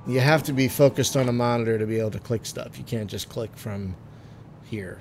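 A man's voice: mid-range voice, fairly quick, creaky, background noise. Phlegmy man chatting through a game. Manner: chatty, frequent ums and uhs, trailing off quietly. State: phlegmy, getting over a cold. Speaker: gaming video host. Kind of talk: casual let's-play commentary.

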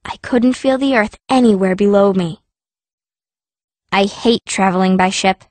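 A woman's voice: medium pitch, measured pace, a little mellow, no room sound. A woman reading scripted first-person narration as a fierce young girl heroine of a fantasy audiobook. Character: fierce, stubborn girl stone mage telling her story.

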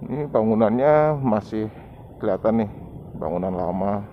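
A man's voice: on the low side, lively intonation, moderate pace. A man narrating a casual vlog as he rides, giving unscripted running commentary in short phrases, recorded outdoors on a camera mic. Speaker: motovlogger. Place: outdoors on a motorbike in town streets. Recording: muffled lo-fi action camera, street noise.

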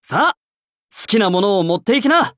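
A man voicing a confident, easygoing young warrior king in a game, with casual, rough-edged speech. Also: sits high, very animated, moderate pace, a bit bright, dry recording, studio quality. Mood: calm, generous. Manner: casual, cocky masculine speech. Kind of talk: Japanese game character voice acting.

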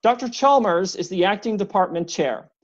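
A woman's voice: medium pitch, animated, moderate pace, lo-fi sound, lightly acted. A woman reading a storybook voicing a wary, irritable male historian who answers curtly and sharply. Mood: curt suspicious. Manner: curt, snapping, suspicious.

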